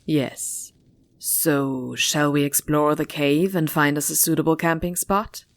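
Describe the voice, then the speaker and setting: A woman's voice: low-pitched, fairly even intonation, takes it slowly, dry recording. A woman playing a cool, pragmatic villain in a fantasy audio drama. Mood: matter-of-fact.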